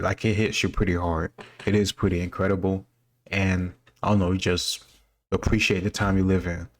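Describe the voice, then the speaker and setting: Man, very low voice, little pitch movement, casual quiz commentary, rambling, thinking aloud. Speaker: host reacting to history quiz questions.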